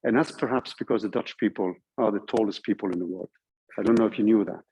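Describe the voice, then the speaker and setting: A man's voice: fairly low pitch, quick pace, darkish tone, a little hiss or hum, rough recording. A man with a Norwegian accent giving an informal university lecture, explaining things step by step and checking in with his listeners. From Norway. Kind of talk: informal lecture walking through code.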